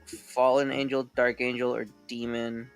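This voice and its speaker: A man from Mexico, a guest on a chat stream, who talks haltingly and trips over his words. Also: medium pitch, level tone, gravelly, clean tone, faint music behind. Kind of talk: casual chat.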